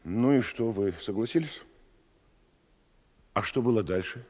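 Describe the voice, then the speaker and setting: Deep-voiced, sceptical interrogator in reverb. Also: male voice, a little mellow. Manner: measured, formal, dryly ironic questioning. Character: calm, probing, sceptical male interrogator. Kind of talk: theatrical dramatic acting in Russian. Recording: long reverberant hall echo.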